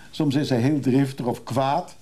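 An actor playing a restless, evasive recovering drinker in a radio play, hesitant and confiding. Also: male voice, medium pitch, mellow, breathy edge. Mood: musing, tender. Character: restless recovering drinker, evasive man who craves freedom. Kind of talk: naturalistic Dutch radio drama acting.

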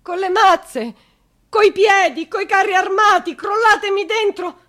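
A woman's voice: very high voice, a little slow, pushed and bright, pitched above the performer's own voice, heavily acted. A desperate, screaming, grieving woman. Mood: frantic pleading. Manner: pleading, repetitive, swinging from whispers to screams. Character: desperate grieving woman begging to be tortured instead. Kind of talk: theatrical monologue on stage or radio.

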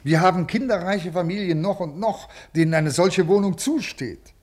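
A man's voice: high-pitched, moderate pace. An actor playing a rigid, ailing male bureaucrat in a 1950s radio play, officious, formal and brusque.

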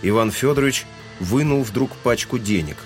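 A man's voice: mid-range voice, moderate pace. A man narrating a literary audio drama in a scripted, dramatic storytelling style. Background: music.

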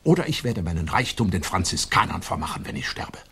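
An actor in a German radio play, playing a proud, domineering old colonial nobleman and stern father with a husky voice and an imperious, emphatic delivery. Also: low-pitched, sing-song, a little fast, a bit bright, a little hiss or hum, heavily acted. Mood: scolding. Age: older.

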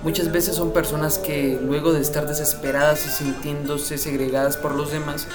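Guy narrating a report in an even, measured read, somewhat high voice, guitar music loud behind him. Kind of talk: scripted narration.

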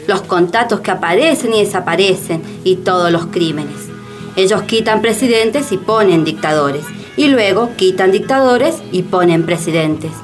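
A woman giving dramatic scripted narration as a radio theatre narrator. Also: medium pitch.

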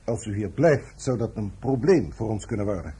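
Casting: dutiful military officer, formal and clipped, dark very husky mid voice, radio drama. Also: male voice, fairly low pitch, lively intonation, moderate pace. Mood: dutiful, insistent. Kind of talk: Dutch radio play acting.

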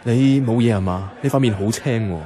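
An actor playing a gentle, understated young man in a radio drama, speaking with a Hong Kong Cantonese accent. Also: mid-range voice, brisk, faint music behind, natural voice.

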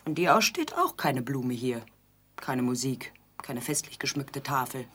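An actress playing a needling, aggrieved bride in a radio drama, with a pointed, dryly reproachful way of talking. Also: female voice, extremely low pitch, expressive, a little slow, fairly bright tone, slight background noise, full character voice. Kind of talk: theatrical radio drama dialogue.

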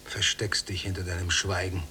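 An actor playing a resentful, long-confined prisoner in a radio play, brooding and deliberate, often hushed and gravelly. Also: male voice, deep voice, little pitch movement, a little hiss or hum. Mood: challenging. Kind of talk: literary radio play acting, monologue and dialogue.

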